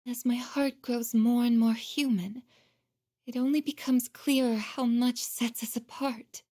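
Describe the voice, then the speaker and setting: A woman playing a gentle, earnest android girl in a video game, speaking politely and formally with soft introspection. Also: on the high side. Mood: wistful, sad.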